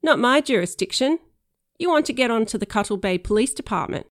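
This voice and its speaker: A woman voicing a gruff, impatient male police officer with a gravelly, brusque, dismissive way of talking. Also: on the high side, lively intonation, fairly quick, no room sound, clean studio sound, voice pushed up, lightly acted. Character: gruff impatient male cop, mocked up by a teen girl.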